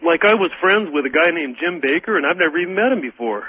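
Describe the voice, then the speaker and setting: Male American caller, rough-edged and a bit high, informal and hesitant, over a narrow telephone line. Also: animated, no echo. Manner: halting, searching for words. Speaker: first-time radio caller. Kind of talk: casual call-in chat. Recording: thin phone line on radio.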